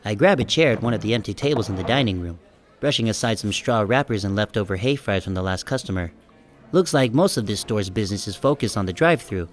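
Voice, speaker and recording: A man playing a short, self-conscious sheep who narrates an audiobook in a wry, deadpan, casual first-person style. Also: on the low side, fast, soft background music, natural voice. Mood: wry, musing.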